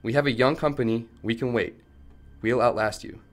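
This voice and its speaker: A man's voice: medium pitch, fairly even intonation, moderate pace, close to an everyday voice. A man playing a principled, defiant tech company chief held captive in a radio play, arguing firmly and articulately. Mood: firm defiance. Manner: firm, argumentative, articulate.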